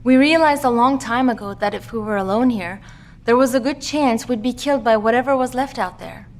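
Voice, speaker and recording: A woman plays a calm, resolute guardian in an audio drama. Her voice is somewhat high, with a solemn, steadfast character. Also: brisk, close to an everyday voice.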